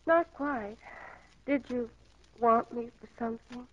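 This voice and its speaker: An actress playing a restless, dreamy young American farm daughter in a 1940s radio play. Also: female voice, fairly high pitch, slow. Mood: listless, wistful. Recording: muffled 1940s radio broadcast.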